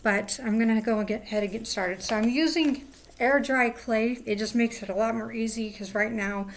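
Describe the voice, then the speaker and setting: A woman with a hoarse voice, rambling casually as she hosts a crafting livestream from home, sometimes drifting into soft murmurs. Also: a little high, moderate pace, a little hiss or hum. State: hoarse, lost voice. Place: at home indoors.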